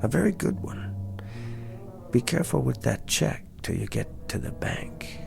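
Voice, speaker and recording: An actor playing a calculating, quietly persuasive man in a television drama, speaking deliberately and insistently like a salesman closing a deal. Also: mid-range voice, sing-song, vocal fry, over music, exaggerated voice. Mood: coaxing, persuasive.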